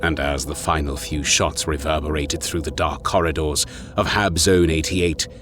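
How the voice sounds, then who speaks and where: A man's voice: very deep voice, steady tone, moderate pace. A man reading scripted, dramatic narration for an audio story. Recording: music behind the voice.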